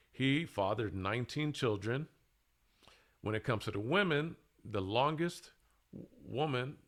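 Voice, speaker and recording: A man presenting a scripted monologue in a deliberate, halting way, with emphatic pauses between short phrases. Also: on the low side, sharp tone. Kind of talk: scripted monologue, public speaking.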